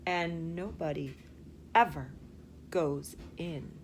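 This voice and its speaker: A woman voicing an old grandfather telling a storybook tale, secretive and excitable, with slow dramatic pauses. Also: low-pitched, slight background noise, voice pushed down. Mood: hushed, mysterious.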